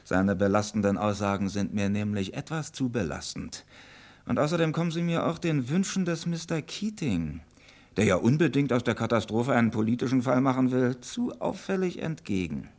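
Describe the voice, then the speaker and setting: An actor playing a shrewd, self-assured male police inspector in a vintage radio crime drama, speaking with deliberate, sardonic emphasis. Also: mid-range voice, sing-song, a little mellow, lightly acted. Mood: confident, musing.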